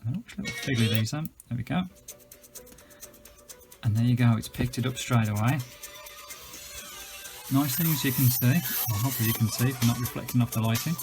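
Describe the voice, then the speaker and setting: British male gadget reviewer, creaky voice, chatty and off-the-cuff, talking viewers through features, faint music behind. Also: medium pitch, a little fast, long pauses. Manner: chatty, informal, hesitant while demonstrating. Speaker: gadget reviewer and video host. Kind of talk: casual unscripted product demonstration.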